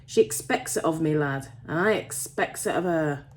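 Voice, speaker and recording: A woman voicing a gruff, grumbling old male tin miner with a Yorkshire accent in a story. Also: deep voice, normal speed, darkish tone, close to an everyday voice. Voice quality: gruff, rough. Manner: dialect grammar, grumbling, emphatic repetition.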